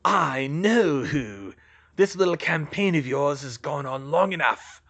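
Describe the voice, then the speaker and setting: Man voicing a slow, imperious dark wizard villain. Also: on the high side, highs cut off. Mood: smug, menacing. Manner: slow, menacing, drawn-out commands. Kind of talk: audiobook fantasy villain.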